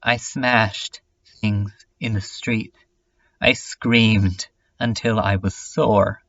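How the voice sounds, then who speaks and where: A man playing a lonely, despairing last survivor, narrating a bleak diary in a halting, confessional way. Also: on the low side, steady tone, fairly bright tone, lo-fi sound, heavily acted. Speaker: narrator of a horror comedy fan dub. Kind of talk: slow first-person scripted narration.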